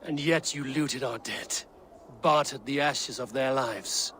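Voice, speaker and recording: A man's voice: medium pitch, takes it slowly, pushed and bright, a little hiss or hum. An actor playing a stern, blunt male Viking warrior in a video game cutscene. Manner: blunt, stern, honor-bound.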